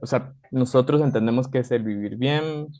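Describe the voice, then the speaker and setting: A man interviewing a guest on a video call, speaking gently and softly with hesitant fillers. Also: medium pitch, normal speed, dry recording. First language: not a Mayan language speaker. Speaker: interviewer, host. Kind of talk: polite interview questions.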